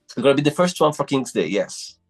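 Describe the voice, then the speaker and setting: A man's voice: medium pitch, sing-song, a little fast, soft background music. A Brazilian man in his thirties, a native Portuguese speaker with a Brazilian accent, hosting a casual interview with effusive, repeated praise for his guest. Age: 30s. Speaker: host.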